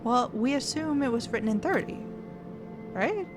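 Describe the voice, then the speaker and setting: A woman streaming a puzzle game, chatting casually, thinking out loud and reading the game's text aloud. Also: a little high, lively intonation, normal speed. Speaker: gaming streamer. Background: game music.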